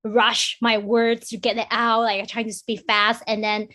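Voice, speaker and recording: A woman who is not a native English speaker, a hiring manager giving loud, emphatic advice on a casual panel over a video call. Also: on the high side, little pitch movement, normal speed.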